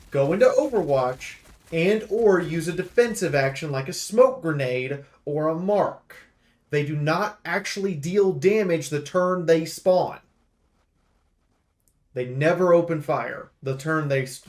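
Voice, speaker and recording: A man with a fairly high voice hosts a game preview, talking off the cuff. He is blunt and emphatic, laying out complaints in an aggrieved way. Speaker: video game preview host. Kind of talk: unscripted game review commentary.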